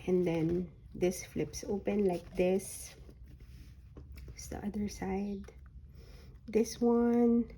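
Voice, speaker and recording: A woman with a hoarse voice that is recovering from a sore throat, showing a handmade journal folio in a soft, unhurried way with frequent ums. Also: mid-range voice, slight background noise, low-quality recording. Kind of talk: slow, casual craft flip-through.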